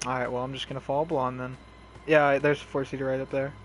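A young man chatting casually and swearing while he plays a horror game with teammates, giving quick directions. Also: mid-range voice, little pitch movement, a little hiss or hum, low-quality recording. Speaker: streamer playing a horror survival game. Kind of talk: casual gameplay chat with teammates. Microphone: console headset mic.

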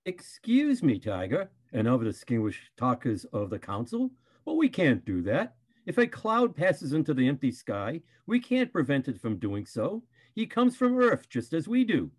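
Older man as an animated, earnest panda bear. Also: mid-range voice, normal speed, few pauses, slightly rough. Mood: earnest, reasoning. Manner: polite, persuasive. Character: earnest, reasonable panda bear defending a newcomer. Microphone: video call.

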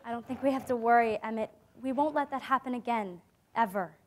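A woman's voice: a little high, fairly quick, a little hiss or hum, lightly acted. A young woman acting an idealistic, reassuring teenage girl diarist in a staged dramatic reading. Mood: firm, consoling. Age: young adult. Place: conference stage before a seated audience. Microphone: lapel mic.

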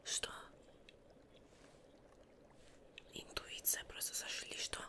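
A woman's voice: moderate pace, natural voice. A young woman playing a fussy, anxious but polite witch seamstress in a whispered ASMR roleplay, muttering and repeating herself close to the microphone. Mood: startled, questioning. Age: young adult. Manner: muttering, repeating words, fretful. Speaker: ASMR performer. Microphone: close sensitive microphone.

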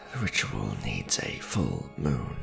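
Ancient vampire lord, played by a man for ASMR roleplay: imperious, gravelly and low, with sweeping, dramatic pitch swings. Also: wildly swooping pitch, music in the background, full character voice. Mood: grave, brooding. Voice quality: gravelly, creaky. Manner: formal, grandiose, measured, dramatic. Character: centuries-old male vampire lord, imperious, aristocratic, tender to his beloved.

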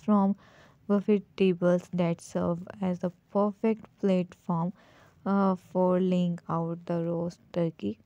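A woman hosting a home decor video, talking slowly over a slideshow, often saying "so viewers" and pausing with "uh". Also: lowish voice, level tone, dark tone, dry recording, muffled recording. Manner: repeats "so viewers", many "uh" pauses. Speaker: channel host.

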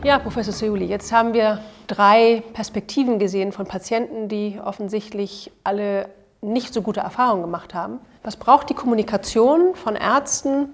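A middle-aged woman hosting an interview in a bright studio, introducing topics in a clear, measured way. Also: medium pitch. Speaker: host. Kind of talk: hosted interview.

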